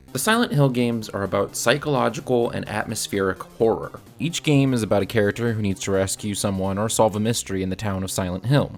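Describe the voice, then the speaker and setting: A man narrating a scripted video review in a casual, opinionated manner. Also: lowish voice. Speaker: film reviewer.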